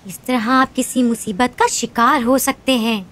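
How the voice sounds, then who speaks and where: A woman playing a caring, gently scolding mother of young children in a cartoon. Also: fairly high pitch, fairly even intonation, moderate pace, lightly acted. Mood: gently lecturing.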